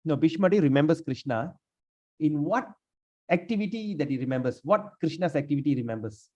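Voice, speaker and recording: A man leading a scripture class, speaking haltingly with many fillers and restarts while he has lost his voice. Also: fairly high pitch, lively intonation, mellow. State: lost his voice, sprained neck. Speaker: class leader. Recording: dull lo-fi room recording.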